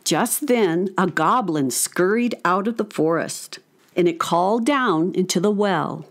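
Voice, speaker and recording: A woman's voice: on the low side, lively intonation, a little slow. A woman narrating a scripted story for children.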